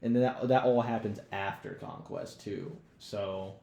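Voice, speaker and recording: Low, creaky-voiced man in an echoey room. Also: steady tone, unhurried, warm tone. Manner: slangy, profane, informal. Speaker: podcast co-host. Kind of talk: casual chat, TV show discussion.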